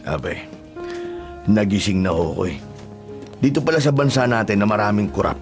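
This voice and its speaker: An actor with a Filipino accent playing a stubborn, wounded fugitive brother in an emotional, questioning radio drama delivery. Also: male voice, lowish voice, animated, moderate pace, faint music behind. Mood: repentant. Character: stubborn wounded male fugitive, bitter then repentant elder brother.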